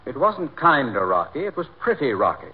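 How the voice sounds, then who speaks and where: An actor as a prim, animated radio weatherman. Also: mid-range voice, a little fast, lightly acted. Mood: earnest, rambling. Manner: polite, prim, literal-minded. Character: fussy, genial weatherman telling rambling, muddled tales. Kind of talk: 1940s radio comedy acting. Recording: noisy, phone-like 1940s radio recording.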